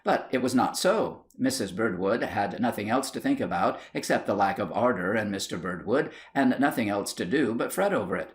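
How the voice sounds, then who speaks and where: A man reading a comic period short story as scripted narration, in a measured, literary storytelling manner. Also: on the low side, lively intonation. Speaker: audiobook narrator.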